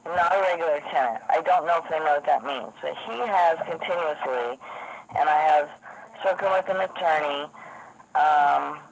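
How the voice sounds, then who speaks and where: A hoarse woman who has lost her voice, insistently explaining a complaint over the phone to the police. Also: sits low, normal speed. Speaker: caller to police. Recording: noisy phone line recording.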